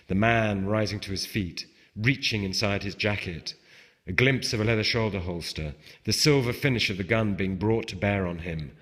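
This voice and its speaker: A man's voice: fairly low pitch, fairly bright tone. A man reading a novel aloud as its author, in a scripted literary narration style, recorded in a reverberant space. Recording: reverberant hall with long echo.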